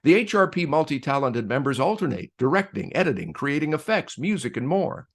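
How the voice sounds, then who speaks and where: A man presenting a scripted introduction in a formal, measured announcer style. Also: fairly high pitch, very animated.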